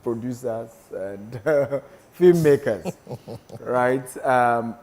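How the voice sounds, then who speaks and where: A man's voice: medium pitch, drawn-out words, a little mellow. A man hosting a TV talk show, teasing his guests and laughing often as he asks questions. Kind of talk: studio panel discussion. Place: TV studio with a live audience. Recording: roomy studio with audience noise.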